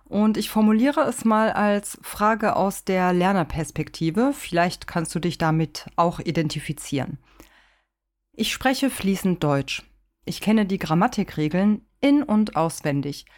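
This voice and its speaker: A woman hosting a pronunciation podcast, explaining calmly and speaking straight to the listener. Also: lowish voice, a little slow. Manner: addresses the listener directly, calm and explanatory.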